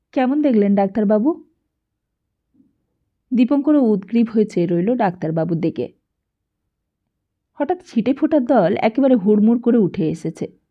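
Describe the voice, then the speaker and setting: Female audiobook narrator, unhurried and expressive literary read, slightly muffled lo-fi sound. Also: mid-range voice. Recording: dull, lo-fi sound.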